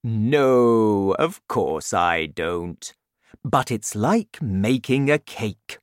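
A woman voicing a gentle, gloomy male giant in a children's story, talking in a slow, sad, matter-of-fact way. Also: rumbling low, lively intonation, no echo, clean studio sound, heavily acted. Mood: explaining.

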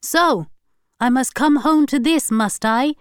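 A woman reading a story aloud, voicing an angry, guilty middle-aged husband who shouts at his wife. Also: high voice, takes it slowly, smooth, no echo, clean studio sound, voice pushed up.

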